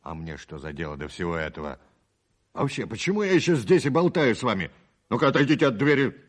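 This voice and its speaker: A man's voice: medium pitch, big pitch swings, fast talker. An actor playing a cynical, mocking male thief in a radio play, with a sneering, theatrical delivery. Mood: mocking, impatient. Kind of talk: 1980s Soviet radio play acting.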